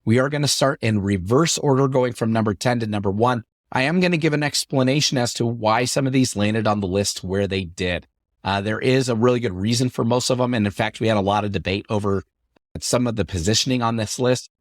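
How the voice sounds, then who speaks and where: A middle-aged man hosting his podcast in an upbeat, chatty way, his voice deepened by a lingering cough after an illness. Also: fairly quick, dry recording, clean studio sound. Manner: upbeat, chatty, repetitive phrasing. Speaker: podcast host. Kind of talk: solo podcast talk.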